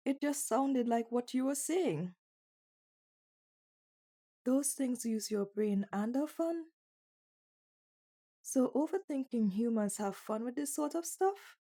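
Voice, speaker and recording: A woman's voice: fairly high pitch, expressive, takes it slowly, long pauses, dark tone. A woman voicing a young bunny girl in an ASMR roleplay, teasing, candid and naively curious in an intimate one-sided conversation. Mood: curious, innocent. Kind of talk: ASMR roleplay audio drama.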